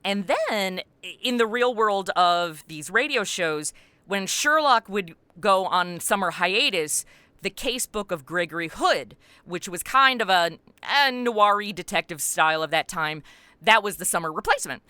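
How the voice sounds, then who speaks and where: A woman with a bright, forceful voice and very clean tone talks as a guest in an interview. She explains things in an expansive, animated storytelling style, and the sound is dry and close. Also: mid-range voice, unhurried, clean tone, no echo. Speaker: podcast creator and writer, interview guest. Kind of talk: conversational interview answer.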